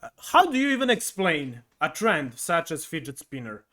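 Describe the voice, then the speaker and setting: A man hosting a podcast interview, asking halting questions with many restarts and fillers. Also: on the high side, big pitch swings, sharp tone. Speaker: podcast host.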